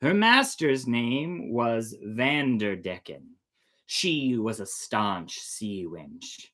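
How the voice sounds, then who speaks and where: A man's voice: medium pitch, expressive, extremely slow, a bit bright. A man voicing an old-time pirate sailor who tells a sea yarn in a theatrical storybook reading. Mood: grave storytelling.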